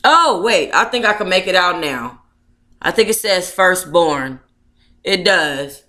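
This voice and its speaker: A woman's voice: on the low side, lively intonation, slow pace, pushed and bright, roomy sound. A woman on a casual livestream, talking to viewers in a playful, slangy, chatty way as she hosts and reads aloud. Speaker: livestream host reading their own book aloud.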